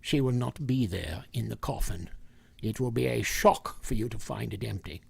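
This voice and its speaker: A man acts out a solemn older professor in a radio horror play. His voice is husky and deeply creaky, heard close and dry, as he explains things methodically and takes his time. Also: no room sound, exaggerated voice. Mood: measured, commanding. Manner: deliberate, hesitant, lecturing. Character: grave, methodical older male professor hunting vampires. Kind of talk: vintage radio drama acting.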